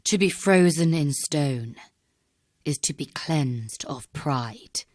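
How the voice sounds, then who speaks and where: A woman playing a tall, sinister masked noblewoman in an audio drama, measured, commanding and ominous. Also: low-pitched, animated, darkish tone, no echo, studio quality, full character voice. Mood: ominous, solemn. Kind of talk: dramatic audiobook character acting.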